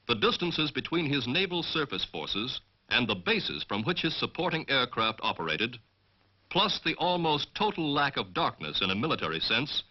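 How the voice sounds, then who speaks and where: Man, American accent, formal training film narration, measured delivery, very varied intonation, dull 1940s soundtrack. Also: mid-range voice. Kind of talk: formal scripted military narration.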